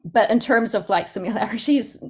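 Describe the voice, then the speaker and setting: A woman's voice: fairly high pitch, normal speed, darkish tone. A woman with a Southland New Zealand accent, answering questions as a poet in an informal, thoughtful way, often searching for her words. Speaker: poet and guest speaker. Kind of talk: informal question-and-answer talk at a poetry event.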